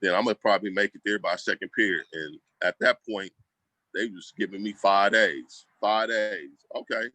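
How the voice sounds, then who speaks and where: Male interview guest, low-pitched with a forceful edge, casual and slangy, very dry close sound. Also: normal speed, no room sound. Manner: colloquial, slangy, acts out quoted remarks. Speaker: school program leader, guest. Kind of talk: casual conversational storytelling.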